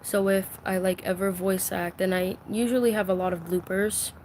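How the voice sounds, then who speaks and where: A woman who voice acts, talking from her bedroom in an emotional live-stream vent, halting and full of fillers, soft and confiding as she holds back tears. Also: medium pitch, fairly even intonation, slight background noise. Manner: slow, halting, many fillers and restarts.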